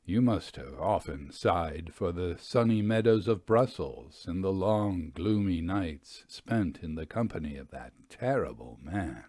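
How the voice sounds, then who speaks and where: A man narrating literary stories in a measured, expressive and precise way, as a host reading aloud. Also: sits low, no room sound, studio quality. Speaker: host and reader of ghost stories. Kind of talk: literary audiobook-style story narration with conversational asides.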